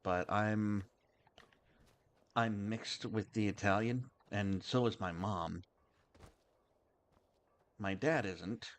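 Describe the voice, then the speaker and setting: A man hosting a game livestream, chatting casually in a halting, rambling way. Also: lowish voice. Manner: halting and rambling, many restarts. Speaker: game streamer, host.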